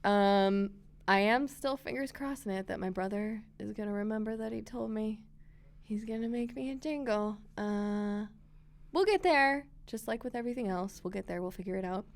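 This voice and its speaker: A woman hosting a casual chat podcast from a library room, a bit hoarse from a cold, bubbly and quick to giggle. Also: mid-range voice. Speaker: podcast host.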